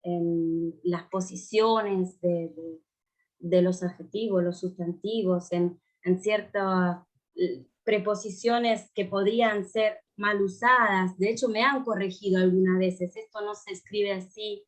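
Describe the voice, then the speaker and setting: A woman in her fifties, Italian by birth, speaks Spanish at an unhurried pace with a clear Italian accent. She muses aloud, stopping and restarting with many fillers as she searches for words. She is heard over a video call. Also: mid-range voice, steady tone. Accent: Italian, living in Buenos Aires. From Italy. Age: 50s. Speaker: guest writer. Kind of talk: thoughtful conversation in a writing workshop.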